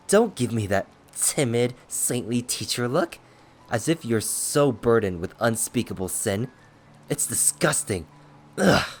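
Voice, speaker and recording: A man's voice: deep voice, exaggerated voice. A man voicing a gleefully unhinged, cocky male ghost who mocks and taunts in an audiobook story. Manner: cocky, mocking, manic, shouting and swooping.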